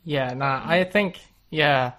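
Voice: medium pitch, slow, a bit bright. Easygoing New Zealand speaker. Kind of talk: casual chat.

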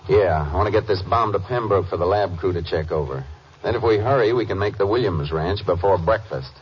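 An American actor playing a calm, methodical Texas Ranger in a 1950s radio crime drama, terse and measured. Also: male voice, low-pitched, heavy background noise, close to an everyday voice. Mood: brisk narrating. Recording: muffled old radio recording.

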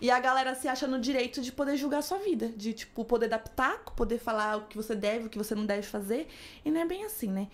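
A woman from Brazil rattles through her stories at a quick clip in a fairly high voice. She chats casually as a podcast guest, full of asides and little quoted voices. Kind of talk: casual chat.

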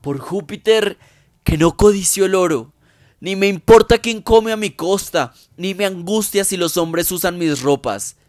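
Warrior king rallying troops , played by a man: solemn Shakespearean declamation, unhurried and weighty, dry recording. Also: high-pitched, a little mellow, no echo. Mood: impassioned, proud. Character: stirring warrior king rallying his outnumbered army. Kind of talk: dramatic Shakespeare monologue reading in Spanish.

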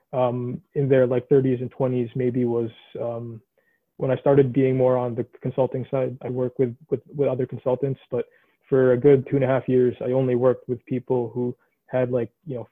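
A man in his late twenties talks through his career on a video call, thinking aloud and restarting his sentences often. His delivery stays flat and even. The line sounds thin and tinny, like a phone, and completely dry. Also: mid-range voice, no room sound. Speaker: alumni mentor in consulting. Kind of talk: casual advice on a video call.

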